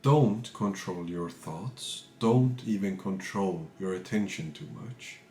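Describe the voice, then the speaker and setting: Slow, low-voiced man guiding meditation. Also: reverberant, a little hiss or hum. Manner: calm, measured, pausing between short phrases. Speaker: meditation teacher. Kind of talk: guided meditation, unscripted spiritual talk.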